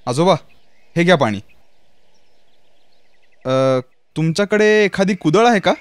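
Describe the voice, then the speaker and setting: A man voicing an eager, polite, naive young villager in a children's fairy-tale cartoon, earnest and excitable in his delivery. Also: high-pitched, takes it slowly, clean tone. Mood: helpful, earnest. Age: young adult.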